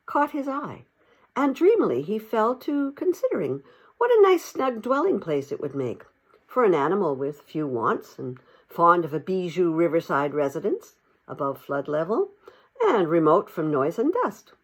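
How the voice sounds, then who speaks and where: Woman reading a story with lively intonation. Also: lowish voice, moderate pace. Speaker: audiobook narrator. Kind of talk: expressive storybook narration.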